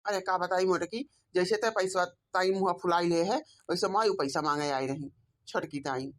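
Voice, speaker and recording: A woman's voice: fairly low pitch, normal speed, close to an everyday voice. A woman with an Awadhi accent voicing a pleading, put-upon grown village son and husband in a cartoon comedy. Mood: troubled. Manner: complaining, self-justifying.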